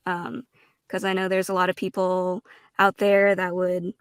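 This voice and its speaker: Woman, smooth clear tone, unhurried halting delivery, earnest, informal, reflective chat, dry close recording. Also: on the low side, completely flat delivery, dry recording. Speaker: guest voice actor and streamer. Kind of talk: casual, reflective interview answer.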